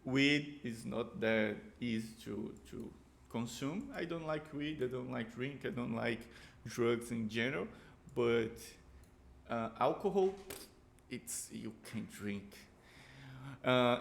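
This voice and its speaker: A Brazilian man with a Brazilian Portuguese accent, a native Portuguese speaker who talks in casual reaction commentary, halting and repeating words as he searches for English. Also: medium pitch, gravelly. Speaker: reaction video host.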